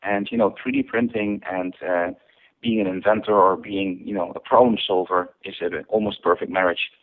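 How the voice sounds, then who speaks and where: A man from the Netherlands, a company founder giving an interview. He speaks in a measured way with many ums and uhs. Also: deep voice, fairly even intonation. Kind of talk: interview over the phone. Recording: tinny phone line.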